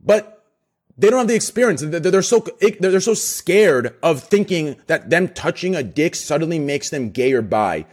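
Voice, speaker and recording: A passionate man streamer talks in a slightly raised register, emphatic and excitable. He stumbles over his own words as he pushes his argument. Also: on the high side, normal speed. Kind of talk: heated group discussion.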